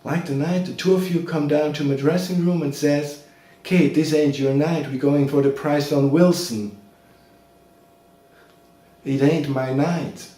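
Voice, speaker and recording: A man's voice: fairly high pitch, steady tone, measured pace, long pauses, dark tone, natural voice. A man performing a monologue as a bitter, washed-up boxer, plain-spoken and aggrieved. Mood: wounded, bitter. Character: bitter washed-up boxer reproaching his brother. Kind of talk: theatrical monologue. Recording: very echoey room, distant mic.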